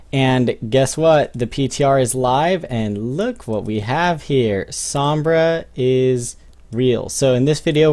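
A young man hosts a gaming video in a casual, conversational style. His voice sits at a middle pitch, and he comments off the cuff on what he is looking at in the game. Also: darkish tone.

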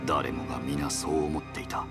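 Very deep, brooding machine-race leader. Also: male voice, fairly even intonation, over loud music. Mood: sorrowful recollection. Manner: solemn, formal, deliberate delivery. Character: brooding, embittered male machine-race leader, weary and grave. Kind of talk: dramatic video game cutscene acting.

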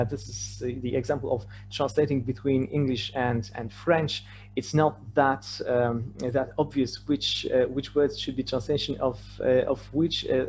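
Male presenter, native Polish with Polish accent, mid-pitched, halting lecture delivery, slightly noisy online recording with hum. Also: a little mellow. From Poland. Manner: hesitant, repeats words and stammers over phrase starts. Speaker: conference presenter. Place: remote online presentation with shared screen.

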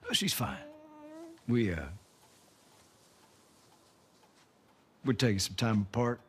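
An actor playing a bitter, middle-aged man bent on revenge, speaking in a halting Southern US drawl in a video game cutscene. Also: mid-range voice, animated, lightly acted. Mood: subdued, friendly. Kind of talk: naturalistic dramatic game cutscene acting.

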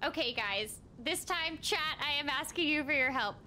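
A young woman chatting casually and brightly animated while livestreaming a game. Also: sits high, moderate pace, a little hiss or hum. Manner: bubbly, animated, giggly. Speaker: game streamer. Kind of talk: casual livestream gameplay chat. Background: game music.

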